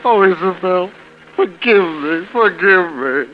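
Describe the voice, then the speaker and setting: A man's voice: high-pitched, lively intonation, slow pace, faint music behind. An actor playing a guilt-ridden, wary male houseguest in a 1940s radio drama, earnest, hesitant and anguished. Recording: muffled, noisy old radio broadcast.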